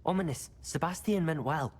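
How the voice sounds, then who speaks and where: A man's voice: a little high, moderate pace, noisy background, natural voice. A man voicing a polite, supportive teenage schoolboy hero with a British accent in a video game. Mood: concerned.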